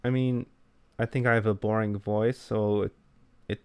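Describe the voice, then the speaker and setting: A man co-hosting a podcast, chatting casually in a low-key, self-effacing way. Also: steady tone, moderate pace, a little mellow, clean tone, dry recording. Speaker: podcast co-host who makes gaming videos.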